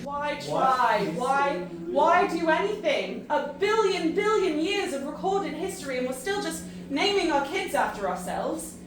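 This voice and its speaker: A woman playing an earnest, disillusioned human pleading in an audio drama, halting and emphatic in her delivery. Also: sits high, expressive. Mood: weary, impassioned. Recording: very echoey, distant, noisy ambience.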